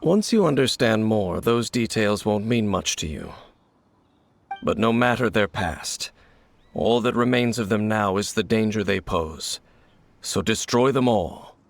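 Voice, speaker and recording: Low, gravelly, somber swordsman. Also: animated. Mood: cold resolve. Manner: grave, measured, restrained. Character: somber, world-weary swordsman, aloof and knowing. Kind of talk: anime video game dialogue.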